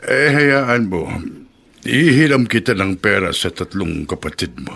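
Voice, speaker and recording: A man's voice: on the low side, big pitch swings, unhurried, gravelly, fairly bright tone, exaggerated voice. A man playing a caring, hesitant yet insistent elderly Filipino father in a radio drama. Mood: insistent, resigned. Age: older.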